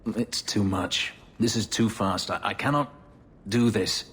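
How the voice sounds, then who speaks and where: A man playing a guarded, brooding elf and former slave in a video game, with a solemn, halting delivery. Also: a bit bright, slightly rough, full character voice. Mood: anguished, regretful. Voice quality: deep, low voice. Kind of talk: dramatic video game dialogue. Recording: reverberant hall sound with music underneath.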